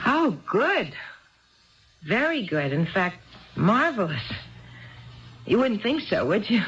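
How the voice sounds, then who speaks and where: A woman's voice: medium pitch, big pitch swings, takes it slowly, soft background music. A dull, muffled seventies radio drama recording of a neglected housewife. She is eager and apologetic, chattering on in a hesitant, rambling way and often repeating herself. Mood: tipsy, confiding. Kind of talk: 1970s radio drama acting.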